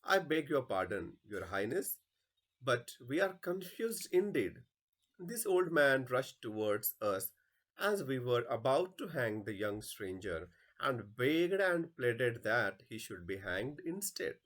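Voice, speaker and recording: A bewildered palace guard from a stage play, read by a man. He reports deferentially, in a slow and careful way. His intonation is very lively. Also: mid-range voice, exaggerated voice. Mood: confused, respectful.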